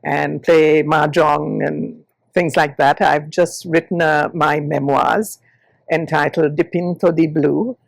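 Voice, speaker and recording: An older woman from Sri Lanka, introducing herself as a guest in a measured, relaxed way on a podcast. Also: low voice, creaky, dry recording. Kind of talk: podcast interview self-introduction.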